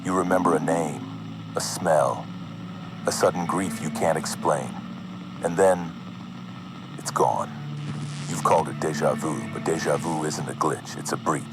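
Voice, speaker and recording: A man narrating an analog horror video as a cryptic, foreboding figure, slowly and deliberately in short, measured phrases. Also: very deep voice, low-quality recording. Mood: ominous, cryptic. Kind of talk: slow scripted horror narration addressed to the listener. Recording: music under the voice.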